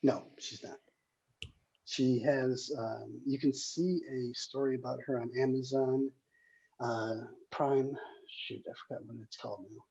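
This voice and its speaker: A screenwriter hosting casually, talking in a hesitant, rambling way with a husky, gravelly voice over a dull call recording. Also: mid-range voice, fairly even intonation, a little slow, mellow. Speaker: screenwriter and table read host. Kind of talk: casual chat.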